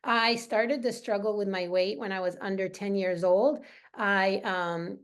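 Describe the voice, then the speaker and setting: A woman's voice: medium pitch, steady tone, unhurried, smooth. A middle-aged woman speaking as a wellness coach in an informal live training, earnest, conversational and personal. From Lebanese.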